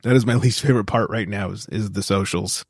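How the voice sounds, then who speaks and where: A man's voice: fairly low pitch, fast talker, breathy edge, no room sound. Chatty man, dry close sound. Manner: chatty, laughs often, acts out quoted voices. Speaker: guest podcaster. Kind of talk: casual chat.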